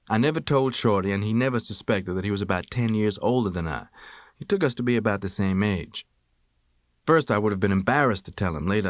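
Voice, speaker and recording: An American man reading a first-person memoir as an audiobook narrator, with expressive, measured storytelling. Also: lowish voice, dry recording, clean studio sound.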